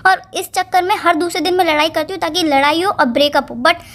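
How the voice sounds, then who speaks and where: A woman's voice: very high-pitched, quick pace, fairly bright tone. A woman playing an exasperated, insistent young girlfriend who pleads and defends herself in a casual romantic call drama. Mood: exasperated, complaining. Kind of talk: acted romantic phone-call drama in casual colloquial speech.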